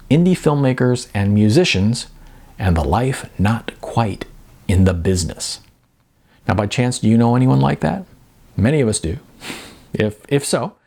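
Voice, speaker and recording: A man's voice: medium pitch, gravelly, darkish tone. A man, an author reading from his own novel in an informal, conversational storytelling style.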